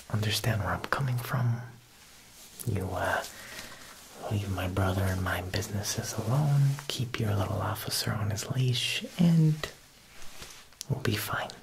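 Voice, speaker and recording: A young man playing a smooth, menacing Italian mob boss tailor in a whispered ASMR roleplay, coaxing and deliberate with veiled threat. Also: fairly low pitch, animated, noisy background, full character voice. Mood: quietly menacing. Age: young adult. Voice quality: breathy whisper with creaky edges. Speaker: ASMR performer.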